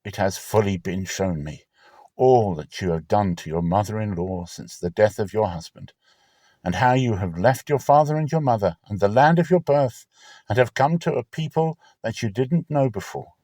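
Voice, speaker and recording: A kindly, authoritative landowner of ancient times, played by a man speaks in a measured, fatherly way. The voice is fairly low yet very expressive, in a dry scripture-drama recording. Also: no echo. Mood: gentle, reassuring.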